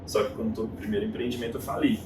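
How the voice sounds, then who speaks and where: A Brazilian man in his thirties with a Brazilian Portuguese accent, a guest chatting casually in an interview and telling his story with animated, acted-out quotes. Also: medium pitch, racing. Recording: echoey room, distant mic, noisy.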